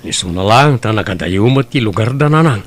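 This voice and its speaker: A man's voice: on the low side, expressive, brisk. A man playing an earnest, dutiful grown son in an Ilocano family drama, plain-spoken with an Ilocano accent. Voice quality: creaky. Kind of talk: Ilocano radio-style family drama acting.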